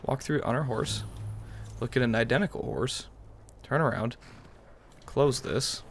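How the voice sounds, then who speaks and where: A man's voice: mid-range voice, unhurried, slight background noise. A man giving casual, rambling commentary over a roleplay game playthrough in a wry manner. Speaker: gaming YouTuber.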